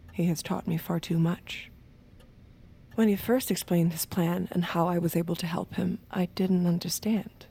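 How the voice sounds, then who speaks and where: An actress playing a seductive, submissive killer who confides in the listener in a hushed, intimate manner for an audio drama. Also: female voice, lowish voice, creaky, husky, full character voice. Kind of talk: intimate first-person narration and acted dialogue in audio drama.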